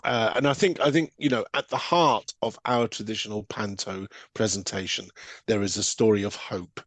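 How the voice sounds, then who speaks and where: A man talking as an interview guest, reflective and halting, then landing short emphatic statements. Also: on the low side, steady tone, dry recording. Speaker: podcast guest from pantomime theatre. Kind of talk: conversational interview talk.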